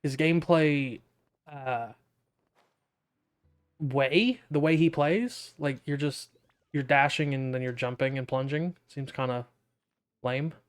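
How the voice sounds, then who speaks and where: A man's voice: mid-range voice, slow pace, long pauses, clean tone. A man giving casual gameplay commentary, rambling and often interrupting himself as he thinks aloud. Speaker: gaming video creator.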